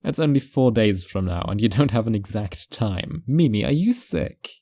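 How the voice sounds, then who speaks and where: Male actor as reclusive, paranoid first-person narrator for audio fiction. Low, dry close sound, musing and wry. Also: lively intonation, normal speed, dark tone, no room sound. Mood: casual, fond.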